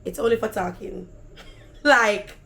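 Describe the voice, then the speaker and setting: A woman with a Jamaican accent and a deep, raspy voice, telling stories in a chatty, animated vlog. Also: slow pace. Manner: animated, chatty, slips into patois. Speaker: vlogger. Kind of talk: casual storytelling.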